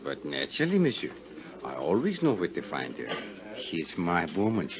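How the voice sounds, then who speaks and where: A shady French-accented gangster in an old radio drama. His voice sits on the low side and swings freely, oily and evasive with courteous menace. The muffled vintage broadcast has crowd murmur behind it. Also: huge pitch swings, normal speed, heavily acted. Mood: smooth, guarded. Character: shady, suave Algiers gangster, sly and menacing. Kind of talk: 1950s radio drama acting.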